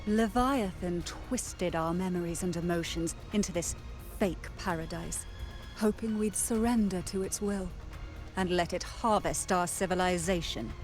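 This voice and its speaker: A woman's voice: on the low side, a little slow, darkish tone, soft background music, close to an everyday voice. An actress playing a serious, composed woman in a fantasy game. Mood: grave. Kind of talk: dramatic fantasy game acting.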